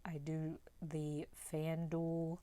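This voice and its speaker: A woman's voice: deep voice, completely flat delivery, drawn-out words, warm tone, no room sound. A young woman from West Virginia with a Pittsburgh accent, candidly chatting through numbered questions in a casual tag video. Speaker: vlogger.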